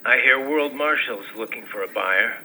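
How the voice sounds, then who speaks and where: A man playing a weary, brooding cyborg warrior in a video game, terse and grim, with a gravelly voice. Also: medium pitch, moderate pace, bright, forceful tone, slight background noise, poor audio.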